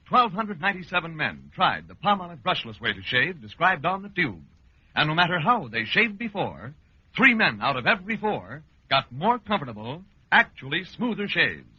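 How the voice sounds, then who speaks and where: A man's voice: on the high side, slightly rough. An American man reading a confident, persuasive 1940s radio commercial. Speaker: commercial announcer. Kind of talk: 1940s radio advertising pitch. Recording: dull vintage radio recording.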